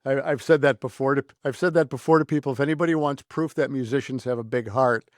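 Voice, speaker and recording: A man's voice: mid-range voice, fairly even intonation, quick pace, dry recording, clean studio sound. A man hosting a podcast, talking in a casual, conversational way. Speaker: podcast host. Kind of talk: casual interview chat with some scripted appeals.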